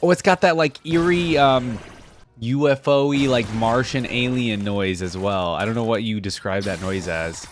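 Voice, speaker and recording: A man's voice: medium pitch, measured pace, a little hiss or hum. A man giving casual, enthusiastic reaction commentary as a gaming video host, full of exclamations and slangy phrasing.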